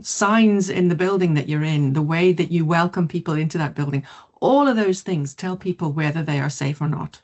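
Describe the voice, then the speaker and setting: A middle-aged woman from South Africa, whose first language is not English, giving an online mindfulness presentation in a lively, quick way. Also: low voice. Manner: lively, quick, laughs easily. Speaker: mindfulness teacher.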